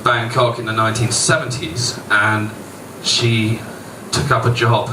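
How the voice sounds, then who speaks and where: A man with a lowish voice hosts a live event as MC. He speaks slowly and haltingly, pausing often, and is heard from a distance over very loud venue noise. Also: flat delivery, roomy sound. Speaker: MC introducing a guest author. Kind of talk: informal live introduction. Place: noisy bar venue, voice heard from afar. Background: loud venue ambience.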